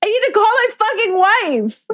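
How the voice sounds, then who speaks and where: A woman's voice: very high voice, very animated, moderate pace, a bit bright, exaggerated voice. A woman playing a distraught, frantic wife in an audio drama, speaking emotionally. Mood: panicked.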